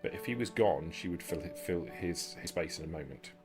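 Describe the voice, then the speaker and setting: A man playing a shrewd, self-serving vampire negotiator in tabletop roleplay, speaking formally and deliberately with halting pauses. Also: deep voice, animated, over music. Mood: calculating, candid. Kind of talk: in-character tabletop roleplay dialogue.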